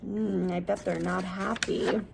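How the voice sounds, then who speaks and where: A woman teacher reading a picture book aloud expressively, pausing to explain things in simple words for young children. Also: medium pitch, level tone, creaky, a crowd in the background.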